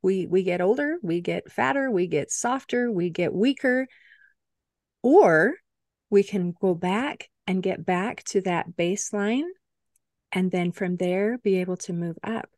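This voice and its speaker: A woman's voice: mid-range voice, a little slow, a little mellow, dry recording, studio quality. A woman hosting a podcast who responds to her guest with enthusiastic, affirming remarks. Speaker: podcast host. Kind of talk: casual interview talk.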